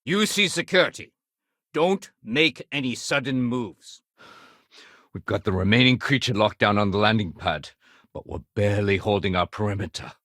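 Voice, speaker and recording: Man acting as low-voiced, level-headed security sergeant. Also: fairly even intonation, a little fast, fairly bright tone, no echo, studio quality, lightly acted. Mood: firm, commanding. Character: male space-colony security sergeant, dutiful, wry, level-headed. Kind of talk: video game character dialogue.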